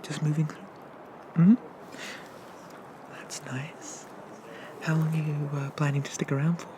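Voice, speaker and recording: A performer playing a friendly, bashful monster barista in a soft, hesitant, gently chatty whispered ASMR roleplay. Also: medium pitch, level tone, a little slow, noisy background, lightly acted. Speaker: coffee shop barista.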